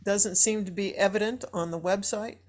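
Mid-pitched woman, hesitant in conversation. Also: fairly even intonation, moderate pace, darkish tone. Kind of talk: casual group chat.

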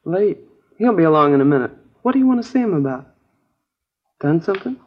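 A woman's voice: lowish voice, very animated, brisk. An actress playing a chatty, naive American girlfriend in a 1950s radio crime drama, casual, rambling and a little vague. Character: chatty, naive, easygoing working-class girlfriend of a crook. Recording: dull old radio broadcast recording.

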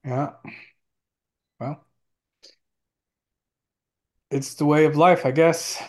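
A man's voice: a little high, steady tone, very slow. A man hosting a casual chat in an agreeable, folksy way.

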